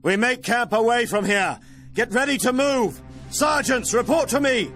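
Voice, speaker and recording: A man playing a dutiful, earnest army officer in a fantasy video game, with brisk military delivery. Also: mid-range voice, soft background music, natural voice. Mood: commanding. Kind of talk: video game cutscene acting.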